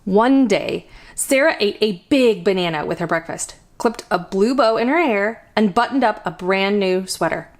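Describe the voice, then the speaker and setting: A woman hosting a children's storytime, reading a picture book aloud in an expressive, deliberate storytelling manner. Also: medium pitch. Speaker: children's storytime host and reader.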